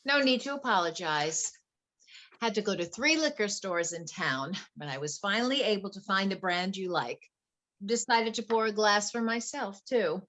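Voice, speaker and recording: A woman's voice: mid-range voice, expressive, moderate pace, fairly bright tone, lightly acted. A woman playing a wealthy, anxious wife in a play reading, earnest, polite and flustered. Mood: polite, hospitable. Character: wealthy, anxious wife confiding fears about her husband.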